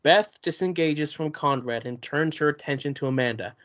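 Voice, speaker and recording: A man reading the stage directions aloud at a play's table read, plain and steady. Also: fairly high pitch, moderate pace. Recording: muffled lo-fi recording.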